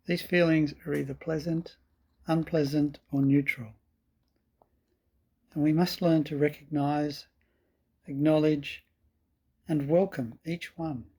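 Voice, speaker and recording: A man with a slightly husky voice guiding a meditation in calm, measured, deliberate phrases. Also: on the high side. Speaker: meditation teacher. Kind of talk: slow guided meditation.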